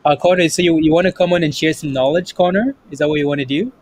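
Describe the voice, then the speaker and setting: A man's voice: a little high, fairly even intonation, fast talker, a little mellow, slight background noise. A man hosting a casual trading livestream, upbeat and chatty, often saying yep and there you go. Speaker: livestream host.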